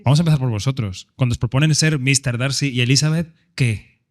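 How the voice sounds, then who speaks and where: A man's voice: mid-range voice, fast. A man moderating a festival press panel, introducing guests and asking questions in a chatty, informal way. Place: event hall at a film festival.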